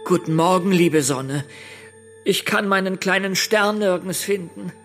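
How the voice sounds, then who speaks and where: Slow-spoken, gentle little star man. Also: high voice, fairly even intonation, faint music behind. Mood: polite, worried. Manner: polite, childlike, hesitant. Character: small gentle star man searching worriedly for his lost star. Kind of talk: children's audio play acting.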